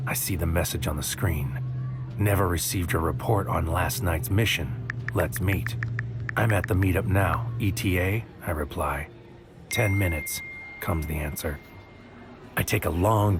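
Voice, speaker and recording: A man's voice: low voice, background noise, close to an everyday voice. An actor playing an anxious, brooding agent who tells his own story in a noir audio drama, in terse poetic fragments. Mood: dejected. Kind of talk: first-person noir audio drama narration.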